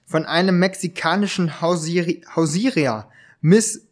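Ein Mann spielt einen hoch klingenden, aufgeregten Schriftsteller. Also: male voice, fairly high pitch. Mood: puzzled. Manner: halting, stumbling over lines. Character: polite, agitated male writer robbed of his parrot. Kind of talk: amateur German detective radio play.

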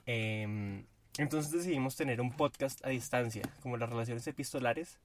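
A young man hosting a podcast, talking in a loose, joking way with halting fillers, recorded inside a car. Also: mid-range voice, slight background noise. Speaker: podcast host. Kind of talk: casual podcast chat.

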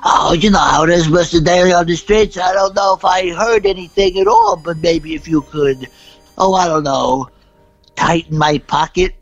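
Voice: mid-range voice, big pitch swings, normal speed, vocal fry, lo-fi sound. A performer voicing a wheedling twelve-year-old shoeshine boy in an improvised radio drama parody. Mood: eager, wheedling. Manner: insinuating, eager, melodramatic.

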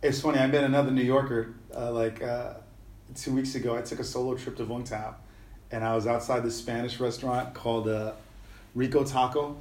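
A middle-aged man chats in a loose, rambling way, full of slang and fillers. His voice sits at an ordinary pitch for a man. The recording has a noticeable room echo. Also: flat delivery, fairly quick, a little mellow. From New York City. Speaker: podcast guest, musician. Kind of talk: casual conversational interview.

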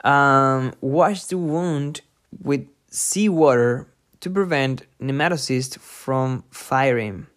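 Slow-paced, halting podcast host. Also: medium pitch. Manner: halting, thinking aloud, repeating hard words. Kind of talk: informal lesson read from a book with personal asides.

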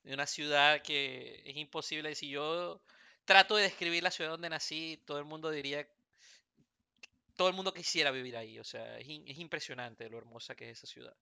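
Venezuelan man, 30s, podcast host; raspy-bright, clean and dry sound, rambling humor. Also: on the high side, fairly even intonation, fairly quick, no room sound. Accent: Venezuelan Spanish. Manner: joking, rambling with many fillers and restarts. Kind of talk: casual podcast chat. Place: Houston, United States.

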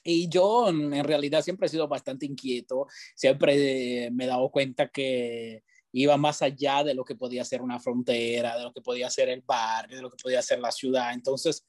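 An Italian man, a television journalist, talking in a relaxed, reflective way as a podcast guest. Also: medium pitch, moderate pace, no echo, studio quality. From Italy. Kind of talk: casual interview talk.

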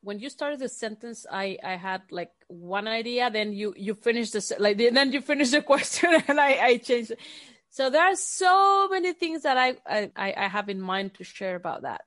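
A woman's voice: fairly high pitch, lively intonation, normal speed, fairly bright tone. A woman from Mexico with a Mexican accent and native Spanish, talking casually and emphatically as an interview guest.

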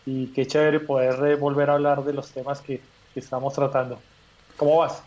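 A man's voice: mid-range voice, little pitch movement, a little mellow. Thoughtful man, hesitant, lo-fi audio. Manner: reflective, hesitant, many "eh" pauses. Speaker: guest. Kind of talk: casual chat.

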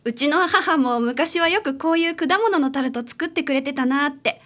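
Woman playing a high-voiced polite client. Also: normal speed, fairly bright tone. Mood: wistful. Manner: polite, hesitant, earnest. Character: polite, troubled adult daughter seeking a detective's help. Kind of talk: amateur radio drama acting.

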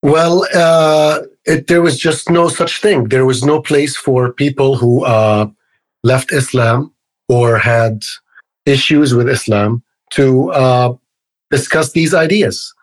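A husky-voiced man with an Egyptian accent speaks as an interview guest. His pitch sits in the middle range. He explains things conversationally, in a halting way, doubling back on his words. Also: rough recording. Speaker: interview guest, activist and organization co-founder.

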